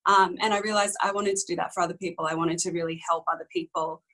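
A woman in her twenties, whose was Korean, speaks with a slightly low voice. She tells her story candidly in a casual interview, wandering and correcting herself along the way. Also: fairly even intonation, quick pace, fairly bright tone, poor audio. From Australia. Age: young adult. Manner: rambling, candid, many ums and self-corrections. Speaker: interview guest.

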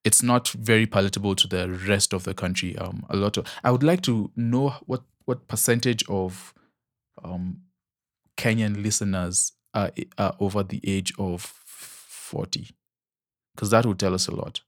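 A man's voice: fairly low pitch, steady tone, moderate pace, dry recording, clean studio sound. A young man co-hosting a podcast in casual chat, rambling with many ums and restarts and laughing easily. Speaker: podcast co-host.

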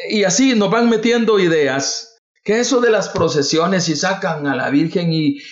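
Un hombre salvadoreño con voz algo aguda predica con fervor en una transmisión. Su tono sube y baja con mucha viveza mientras repite preguntas a su audiencia. La grabación suena apagada y de baja fidelidad. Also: male voice, a little high. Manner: fervent, calls listeners "brother", repeats rhetorical questions. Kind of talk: livestreamed devotional talk. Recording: dull lo-fi recording.

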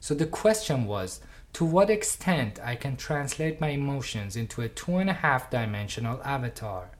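Measured speaker. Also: mid-range voice, sing-song. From Iran. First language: Farsi. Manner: measured, personal and earnest. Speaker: artist and doctoral researcher. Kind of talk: reflective lecture performance.

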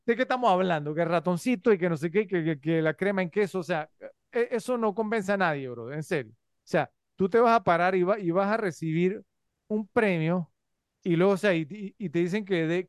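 Male podcast co-host, high clear voice, rambling and filler-heavy. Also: no room sound, clean studio sound. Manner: filler-heavy, rambling with frequent tag questions. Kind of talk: casual movie review chat.

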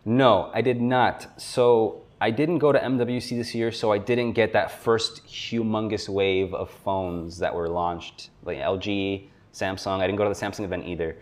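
A young man with a fairly low voice chats as a guest on a tech podcast. He is from South Florida and talks in a loose, rambling way, with frequent laughs and filler words. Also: moderate pace, hardly any pauses. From South Florida, USA. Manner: relaxed, rambling, laughs often. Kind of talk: casual conversation.

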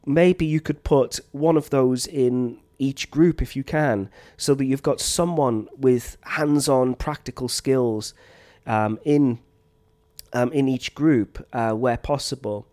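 A science teacher giving relaxed, unscripted advice to other teachers, with frequent ums and a slight cough from a chest infection. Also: male voice, mid-range voice. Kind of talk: teacher training talk.

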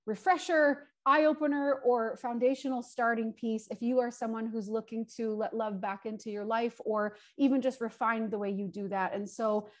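Podcast host, woman, high voice, welcoming opener. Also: steady tone, normal speed, hardly any pauses. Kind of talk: podcast interview intro.

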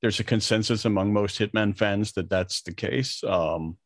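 A man co-hosting a podcast, talking casually and haltingly, repeating words as he thinks. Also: on the low side, dry recording, clean studio sound. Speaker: podcast co-host. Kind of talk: casual unscripted gaming discussion.

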